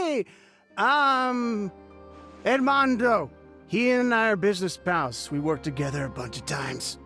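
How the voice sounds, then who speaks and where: Drunk chummy dude in a game, slow slurry rambling, teasing, pitch goes all over. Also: male voice, pushed and bright, full character voice. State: drunk, acted. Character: tipsy, jovial, teasing male business pal. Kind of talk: video game voice acting.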